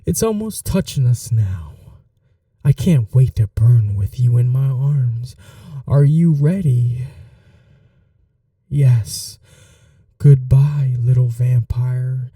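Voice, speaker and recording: A man voicing an obsessive, grieving male vampire in an audio roleplay, whispering softly and swinging between menace and desperate pleading. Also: mid-range voice, slow pace, pauses often, no echo, studio quality, recorded from a distance. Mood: possessive. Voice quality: soft whispering, intimate.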